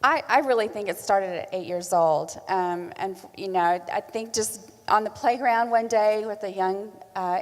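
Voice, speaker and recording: A woman speaks earnestly as a panel guest, her tone sober. Her pitch sits in the middle, and a large hall adds a long reverb to her voice. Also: darkish tone. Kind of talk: personal panel answer.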